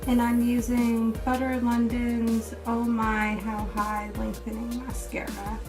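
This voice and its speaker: Woman, beauty vlogger, slightly hoarse, fairly high voice, relaxed step-by-step talk, lots of fillers, mic set back, music playing. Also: slow pace, distant mic. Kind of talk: casual makeup tutorial and review.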